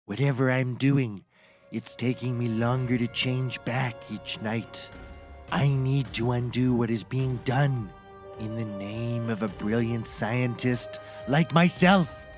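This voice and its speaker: A man's voice: medium pitch, takes it slowly, soft background music, natural voice. A man playing a grave, troubled mad scientist in a scripted comedy, formal and solemn. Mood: worried, grave.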